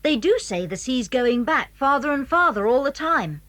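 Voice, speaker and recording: A woman's voice: high voice, big pitch swings, normal speed, fairly bright tone. A woman playing a clever, secretive British schoolboy in a children's audio drama, eager and well-spoken as he explains things. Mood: eager, proud. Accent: British English. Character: clever, secretive schoolboy showing friends his house.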